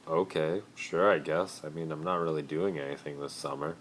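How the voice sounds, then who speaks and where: A baffled teenage wizard from a fan story, read by a man, plain-spoken and doubtful. The voice stays very deep, only slightly lifted from narration. It sounds like an amateur phone recording with a little noise. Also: fairly even intonation, moderate pace. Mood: hesitant.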